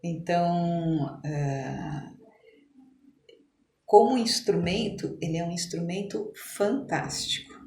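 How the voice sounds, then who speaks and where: A woman with a Brazilian accent explaining things conversationally as an interview guest, in a halting, emphatic way like a medical professional. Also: lowish voice, dark tone. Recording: echoey room, faint background noise.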